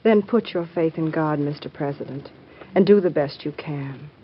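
An actress in an old-time radio drama playing a gentle, devout woman who counsels a troubled president. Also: deep voice, normal speed, close to an everyday voice. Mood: consoling. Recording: dull, noisy vintage radio drama recording.

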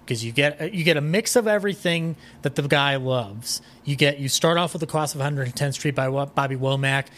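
A man's voice: fairly high pitch, fairly quick, fairly bright tone. A man chatting casually as a podcast guest, rambling with enthusiasm and swearing now and then, with some noise behind him. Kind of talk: casual interview chat.

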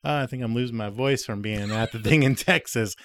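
A man co-hosting a podcast, laid-back and quick to laugh in casual chat. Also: mid-range voice, a bit bright, no echo, studio quality. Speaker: podcast co-host.

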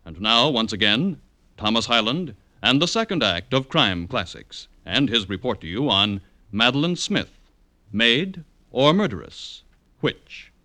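A man announcing an old radio show with a brisk, emphatic promo delivery. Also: mid-range voice, very animated, bright, forceful tone.

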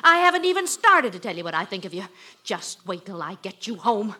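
An actress playing an exasperated but loving housewife in an old radio comedy, with an emphatic, dramatic, nagging delivery. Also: female voice, mid-range voice, sing-song, brisk, bright, forceful tone, slightly rough, full character voice. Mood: indignant scolding. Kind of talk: 1940s radio comedy acting. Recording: old radio show recording.

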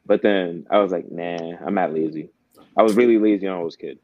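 Deep-voiced laid-back young man. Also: brisk. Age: young adult. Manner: laid-back, slangy storytelling. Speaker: podcast guest. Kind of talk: casual conversation. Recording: dull, dry lo-fi sound.

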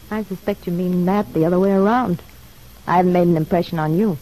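A woman playing a glamorous, friendly and coy young club performer in an old-time radio soap, husky-voiced. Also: lowish voice, normal speed, dark tone, close to an everyday voice, low-quality recording. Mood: coy, tentative.

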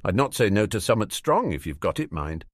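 A man voicing a big, gruff but friendly groundskeeper with hearty, blunt, rustic speech in a storybook reading. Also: on the low side, sing-song, a little fast, no echo. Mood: jovial.

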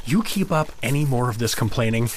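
A man's voice: mid-range voice, expressive, slight background noise, natural voice. A man playing a stubborn, bumbling middle-aged dad with corny jokes in a comedy audio drama, blustery and defensive. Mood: defensive, irritated. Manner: blustery, defensive, halting when awkward.

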